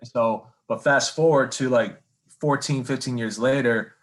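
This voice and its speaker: A man's voice: medium pitch, measured pace. Man, thirties, from Michigan, mid-range voice, chatty and friendly podcast host. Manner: friendly storyteller, animated, says "like" and "bro". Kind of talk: casual interview conversation.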